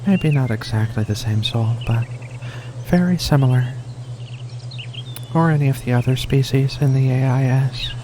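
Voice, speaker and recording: A man in a soft-spoken ASMR roleplay as a gentle, shy alien cat woman, speaking haltingly and tenderly over faint ambience. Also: mid-range voice, a little hiss or hum. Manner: hesitant, halting, stammering, tender.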